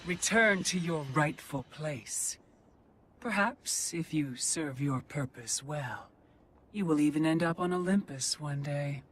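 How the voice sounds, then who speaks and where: An actress playing a commanding, contemptuous female Fury in a video game, speaking in an imperious, drawn-out way. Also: low-pitched, fairly bright tone, slight background noise. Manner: imperious, scornful, drawn-out delivery. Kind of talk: dramatic video game villain acting.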